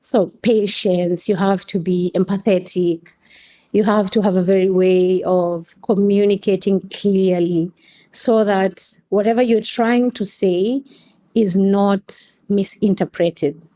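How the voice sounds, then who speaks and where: A woman's voice: mid-range voice, fairly even intonation, slow pace, dark tone. A woman from Kenya with a Kenyan accent, explaining things articulately in a conversational interview as a guest. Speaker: podcast guest, coffee company staff member.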